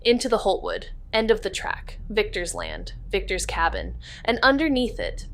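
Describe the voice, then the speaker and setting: The wry woman detective telling the case in clipped, measured lines on a noir mystery podcast. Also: medium pitch, a bit bright, slight background noise. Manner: terse, measured, atmospheric. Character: wry, hard-boiled female amateur sleuth telling her story. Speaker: narrator. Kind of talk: noir scripted narration.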